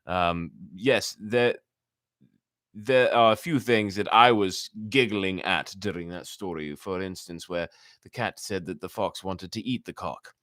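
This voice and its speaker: A man's voice: low-pitched, measured pace, a bit bright, dry recording, clean studio sound. A man hosting an online story time, reading tales and chatting to listeners in rambling asides. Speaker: host reading stories to a live online audience.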